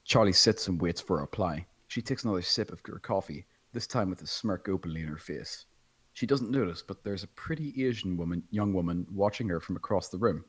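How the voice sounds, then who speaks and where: A man with a husky voice reading screenplay stage directions as scripted narration. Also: low-pitched, brisk, a bit bright. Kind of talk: scripted narration at table read.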